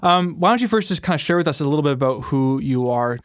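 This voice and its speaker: Podcast host guy, upbeat and chatty, slightly high voice, dry studio. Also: lively intonation, fast, clean tone, dry recording. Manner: upbeat, chatty, laughs easily. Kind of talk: casual interview. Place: radio studio.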